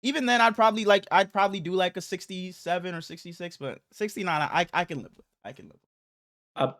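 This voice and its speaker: High voiced guy cohosting a sports pod, sweary and slangy, bit stuffed up. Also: brisk, fairly bright tone. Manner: slangy, profane. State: slightly congested, under the weather. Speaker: sports podcast host. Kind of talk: casual sports podcast chat.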